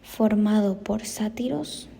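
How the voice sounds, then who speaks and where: A woman's voice: medium pitch, slow pace, vocal fry, mellow, husky. A woman dictating study notes aloud, often in a whisper, repeating items and spelling words out as she goes.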